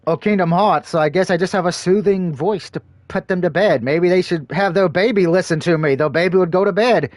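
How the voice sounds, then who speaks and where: A man's voice: sits high, fairly quick, few pauses, dry recording. A man streaming a game, chatting casually between read-aloud passages with crude, joking banter. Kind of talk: casual let's-play commentary and reading aloud.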